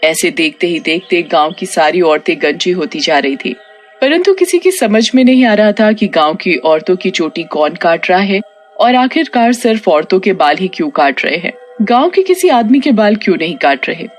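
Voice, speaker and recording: A woman's voice: fairly low pitch, sing-song, normal speed, faint music behind. A woman reading a scripted horror story narration for a cartoon tale.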